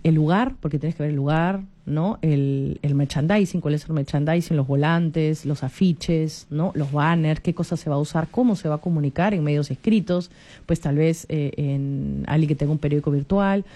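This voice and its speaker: A woman in her forties with a Peruvian Spanish accent talks on a radio program. Her voice is mellow and soft. She is chatty, keeps adding little tag questions and restarts her phrases as she goes. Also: sits low, moderate pace. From Peru. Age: 40s. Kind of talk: casual radio talk.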